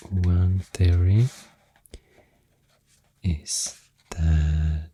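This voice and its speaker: A man with a Swedish accent speaking softly and breathily, often close to a whisper, in a soothing ASMR ramble, close to the microphone. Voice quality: deep, soft, breathy, often near whisper. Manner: slow, hushed, soothing. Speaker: ASMR host. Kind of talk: soft-spoken ASMR rambling.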